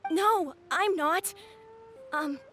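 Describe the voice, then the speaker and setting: A woman's voice: very high voice, very slow, bright, forceful tone, exaggerated voice. A woman voicing an earnest, polite and worried little village boy in a video game, childlike and a bit hesitant. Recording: faint music behind the voice.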